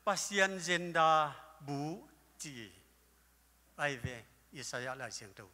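An older male pastor preaching with a high, very bright voice into a handheld mic. His sermon carries through a church hall with a long, ringing reverb. Now and then he lifts into loud exclamations. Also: expressive, slow pace, husky. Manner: preaching at a lectern, gesturing, with bursts of loud exclamations. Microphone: handheld microphone.

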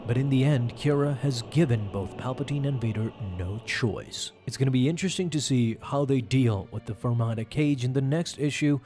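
A man hosting and narrating a scripted comic recap with a dramatic storyteller delivery. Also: medium pitch. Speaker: YouTube host and narrator. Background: faint music.

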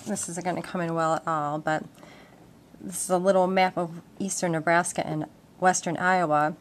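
A woman's voice: lowish voice, flat delivery, normal speed. A woman giving an informal, step-by-step explanation in a casual demonstration. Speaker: instructor. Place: indoors at a wooden table at home. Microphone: handheld camera microphone. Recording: noisy handheld home-video audio with clicks.

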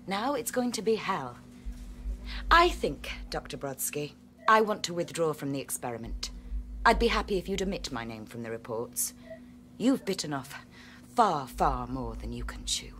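Lively, low female scientist. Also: moderate pace, soft background music. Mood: troubled, reproachful. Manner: earnest, articulate. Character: principled, troubled female research scientist.

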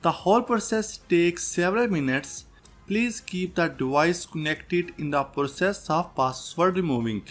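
A man's voice: high-pitched, animated, a little slow. A man presenting a tech tutorial as a scripted voice-over, going through instructions step by step. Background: background music.

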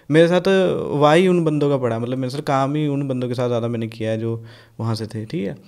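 Casting: young guy from Punjab, slightly high voice, blunt, swears a lot, lively storyteller. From Punjab, India. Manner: blunt, animated, swears freely, mixes English in. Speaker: podcast guest, rapper. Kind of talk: casual podcast chat.

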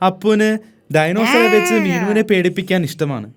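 A woman's voice: medium pitch, a little fast, a loud crowd, lightly acted. A woman playing a friendly storyteller, narrating a cartoon for young children. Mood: storytelling.

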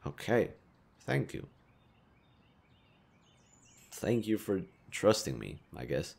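A man's voice: low-pitched, sing-song, slow pace, vocal fry, full character voice. A man acting a kind, teasing young stranger who guides a lost girl, speaking casually to the listener in an intimate roleplay audio drama. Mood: coaxing, teasing. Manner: casual, playfully teasing, mildly awkward, swearing.

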